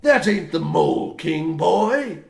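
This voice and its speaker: A man's voice: on the high side, sing-song, takes it slowly, gravelly, exaggerated voice. An actor playing a crazed, zealous old mine foreman with archaic rustic speech in a radio play. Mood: gruff. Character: crazed old miner foreman, fanatical believer. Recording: roomy echo, background noise.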